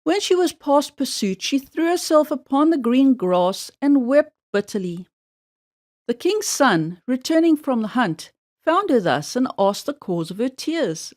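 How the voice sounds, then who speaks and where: A woman reading a fairy tale aloud for children in an expressive, measured storybook style. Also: high-pitched.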